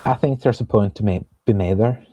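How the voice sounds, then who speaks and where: A young man with a Norwegian accent, a composer talking as a guest in a thoughtful, unhurried conversation, pausing often to find his words. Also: lowish voice, dark tone. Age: young adult. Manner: thoughtful, searching for words with many pauses and fillers. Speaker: composer and viola player, guest. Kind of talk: casual conversation.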